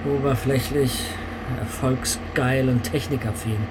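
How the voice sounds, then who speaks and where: A man's voice: mid-range voice, steady tone, unhurried, heavy background noise, close to an everyday voice. An actor playing a disdainful male conductor of around sixty in a radio play. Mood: musing. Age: 60s. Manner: musing, disdainful.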